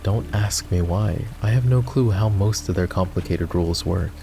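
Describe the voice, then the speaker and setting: A male voice actor playing a gruff, sardonic fantasy knight in a roleplay ASMR, with a teasing, commanding manner. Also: deep voice, moderate pace, music in the background. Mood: wary, instructing.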